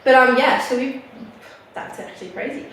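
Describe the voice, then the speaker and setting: A young woman co-hosting a casual podcast, chatty and quick to laugh, still a bit hoarse from a cold. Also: high-pitched, a bit bright, slight background noise. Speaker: podcast co-host. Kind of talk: casual chat. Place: living room. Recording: echoey room, mic at a distance.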